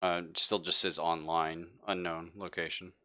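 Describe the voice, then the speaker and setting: Low voiced guy, bright forceful tone, game master chatting casually with his players. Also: little pitch movement, measured pace. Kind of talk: casual tabletop game chat.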